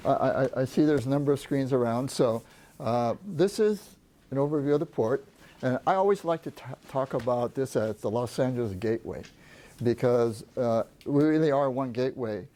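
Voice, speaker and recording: A soft-spoken man presenting at a business workshop, talking in a halting way with many restarts. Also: medium pitch, animated. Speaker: port trade representative, presenter.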